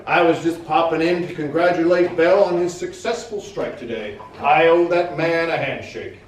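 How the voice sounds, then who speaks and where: A man voicing a loud, self-satisfied male army general who talks in a booming, dismissive way, in a roomy hall away from the mic. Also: normal speed, far from the mic, soft background music, lightly acted. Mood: brusque.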